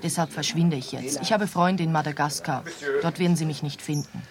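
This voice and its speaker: A woman's voice: low voice, a little fast. An actress playing a cool, businesslike young woman on the run in a radio play. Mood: matter-of-fact. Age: young adult. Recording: busy bar crowd behind the voice.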